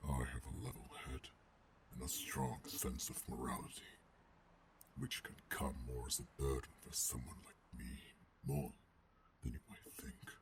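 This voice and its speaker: A man voicing a menacing, coldly controlled alpha werewolf in an ASMR roleplay, gruff and growling, speaking formally and deliberately in a half-whisper. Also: a bass voice, level tone, background noise. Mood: wry, restrained. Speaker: voice actor.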